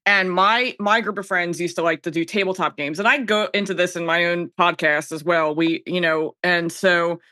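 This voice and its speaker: A woman's voice: fairly low pitch, fairly even intonation, moderate pace, hardly any pauses, sharp tone, no room sound, clean studio sound. An American woman in her thirties chatting casually and candidly as a podcast guest. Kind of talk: casual chat.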